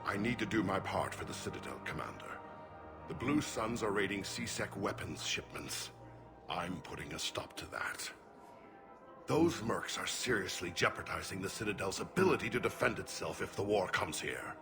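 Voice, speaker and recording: An actor playing a weary, dutiful older alien general in a video game, speaking gravely and earnestly. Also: male voice, sits low, lively intonation, moderate pace, music in the background. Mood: grave, resolute. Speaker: military general. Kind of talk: dramatic video game acting.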